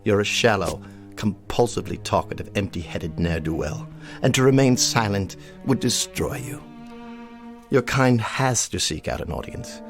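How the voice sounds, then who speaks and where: An actor playing a haughty, contemptuous old colonel in a radio drama, speaking in a formal, precise and disdainful way. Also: male voice, lowish voice, moderate pace, over music, close to an everyday voice. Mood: scornful.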